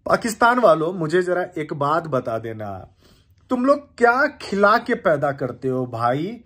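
Excited young man ranting about cricket. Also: on the high side, a little slow. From India. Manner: excited, animated, gestures with hands. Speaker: cricket commentator reacting. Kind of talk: casual reaction rant. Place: indoor room on a leather couch.

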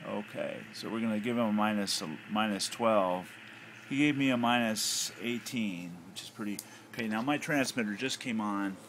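An American man giving a casual, off-the-cuff hobbyist demonstration, thinking aloud hesitantly. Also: lowish voice. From United States. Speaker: amateur radio hobbyist. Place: indoors at a home radio desk. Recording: noisy handheld home recording.

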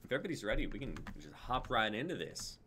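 A man's voice: medium pitch, sing-song, fast, sharp tone, slight background noise. A man in his twenties casually hosting a live stream on a group video call. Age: 20s. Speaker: stream host.

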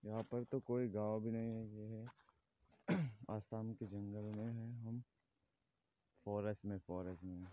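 Halting man. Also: fairly low pitch, level tone, mellow. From Assam, India. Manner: halting, searches for words; apologetic about his Hindi. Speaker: vlogger. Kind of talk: casual walking vlog narration. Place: outdoors in fields and forest, walking. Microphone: phone camera held while walking, face not shown. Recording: tinny phone-like sound with faint noise.

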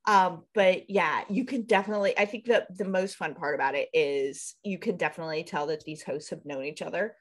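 A woman's voice: on the low side, brisk, hardly any pauses. A woman from Nebraska with a Nebraskan accent, co-hosting a podcast in casual, rambling chat over a webcam from a room at home. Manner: rambling, self-deprecating, laughs at herself. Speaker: podcast co-host. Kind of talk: casual chat on a video call. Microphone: video call webcam.

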